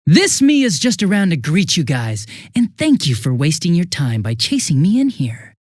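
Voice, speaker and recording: An actor playing a smug, mocking young detective turned murderous villain in a video game, swinging theatrically between bored drawl and giddy taunts. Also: male voice, fairly high pitch, wildly swooping pitch, moderate pace, dry recording, studio quality. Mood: smugly menacing.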